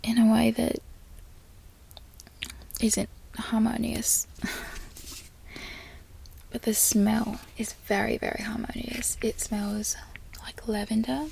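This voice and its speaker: An American woman speaking in a soft, breathy ASMR whisper, lingering over and repeating her words. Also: fairly high pitch, flat delivery, a little hiss or hum. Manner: slow, lingering repetition of words. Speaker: ASMR video creator.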